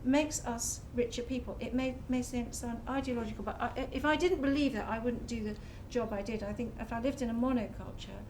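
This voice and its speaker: A woman speaking as a panelist in a public discussion, earnest and measured as she argues her point. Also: on the high side, darkish tone, slight background noise. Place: public talk venue.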